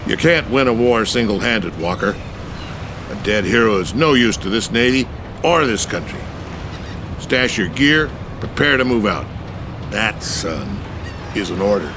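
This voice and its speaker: Gruff old american navy admiral, creaky voice, blunt and bossy, faint noise behind. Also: male voice, lowish voice, lively intonation, a little slow. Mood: firm, commanding. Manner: blunt, commanding, sardonic. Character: stern, gruff older male US Navy admiral. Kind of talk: war game cutscene acting. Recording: noisy ambience behind.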